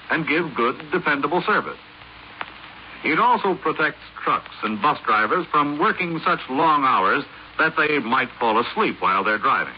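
Animated American male vintage radio announcer. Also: medium pitch. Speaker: public service announcer. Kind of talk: scripted 1950s radio announcement. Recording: muffled, hissy 1950s radio recording.

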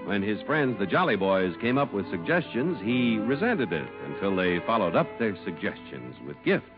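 A man's voice: lowish voice, very animated, normal speed, over music. A man announcing on mid-century radio, reading scripted copy and narration with an emphatic, polished delivery. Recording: dull vintage radio broadcast recording.

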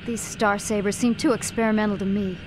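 A woman's voice: medium pitch, fairly even intonation, brisk, a loud crowd, close to an everyday voice, low-quality recording. An actress playing an earnest, determined young Jedi woman in a space fantasy audio drama. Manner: earnest, urgent.

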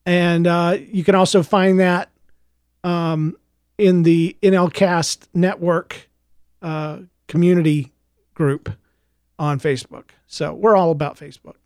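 A man with a high, slightly gravelly voice reads his own story aloud and then talks to his podcast listeners. He hesitates often, filling gaps with "uh" and restarting sentences. The sound is close and dry, with no room in it. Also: fairly even intonation, takes it slowly, a little mellow, no room sound. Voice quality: a little gravelly. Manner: hesitant, many fillers and restarts in chat. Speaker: podcast host and author. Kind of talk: reading his own book aloud, then casual chat.

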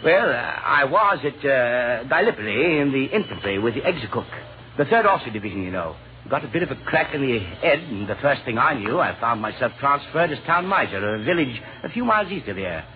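An actor playing a breezy, good-natured cavalry lieutenant with a chummy, slangy, slightly hesitant manner in an old radio drama. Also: male voice, mid-range voice, big pitch swings, fairly quick, phone-quality sound, close to an everyday voice. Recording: thin, lightly noisy old radio recording.

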